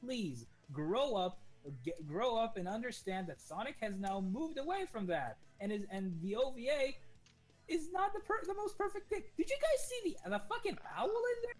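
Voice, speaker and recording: A young man in a casual gaming chat, excitable, stammering and restarting when heated. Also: very high voice, normal speed, faint music behind.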